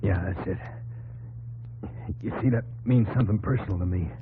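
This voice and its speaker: A man playing an earnest, plain-spoken young American soldier in a 1950s radio drama, talking haltingly with an American accent. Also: deep voice, breathy edge. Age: young adult. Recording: narrow, humming old 1950s radio recording.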